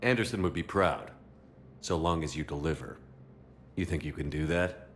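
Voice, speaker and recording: An actor playing a blunt, determined military hero in a sci-fi video game, talking in terse, direct questions. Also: male voice, rumbling low, normal speed, a bit bright, slight background noise. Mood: level.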